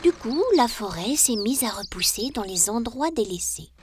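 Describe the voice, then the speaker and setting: A woman playing a kindly, lively guide who narrates history for children in a clear storytelling manner. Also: fairly high pitch, moderate pace, heavy background noise, lightly acted.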